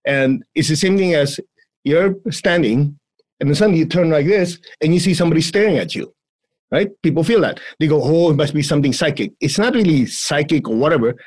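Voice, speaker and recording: A man with a Chinese accent talks listeners through a relaxed energy exercise. His voice sits fairly high and sounds husky. He keeps it playful and often breaks into laughter. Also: a little fast. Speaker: energy healing teacher. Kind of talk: guided exercise instruction in a podcast conversation.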